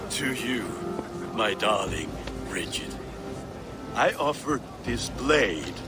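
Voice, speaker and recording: A sentimental Viking groom. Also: male voice, mid-range voice, sing-song. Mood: tender. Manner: flowery, heartfelt, slow. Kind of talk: video game voice acting. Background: music.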